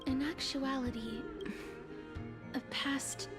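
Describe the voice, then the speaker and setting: A woman voicing a soft, polite, hesitant young maiden in a video game. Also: high-pitched, steady tone, slow pace. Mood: apologetic. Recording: loud game music behind voice.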